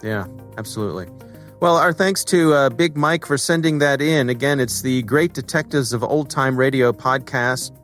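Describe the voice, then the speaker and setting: A man's voice: medium pitch, moderate pace, a bit bright, faint music behind. A man hosting a podcast in a conversational style. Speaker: podcast co-host.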